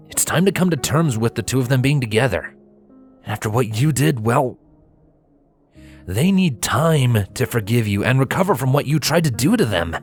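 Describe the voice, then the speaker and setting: A man playing a gentle but firm pony husband in a story reading, earnest and given to sighs. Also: medium pitch, lively intonation, moderate pace, pauses often, faint music behind, pitched above the performer's own voice, lightly acted. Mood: weary, firm. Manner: soft-spoken, sighing, earnest.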